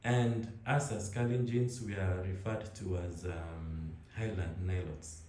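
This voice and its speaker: Deep-voiced Kenyan man, easygoing chat. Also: unhurried, reverberant. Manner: relaxed, rambling, frequent restarts and laughs. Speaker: interviewee. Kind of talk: casual conversation.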